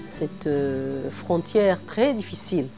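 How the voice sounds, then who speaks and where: A middle-aged woman from Morocco who is an artist, speaking thoughtfully in an interview with frequent hesitant pauses. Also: low voice, lively intonation, gravelly, music in the background. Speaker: artist and cultural organizer. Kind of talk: reflective interview.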